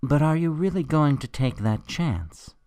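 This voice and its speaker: A man playing a smug criminal mastermind professor in a radio drama, coolly threatening and taunting in a deliberate, theatrical way. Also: a little high, animated, darkish tone, no echo, studio quality. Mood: gloating, taunting.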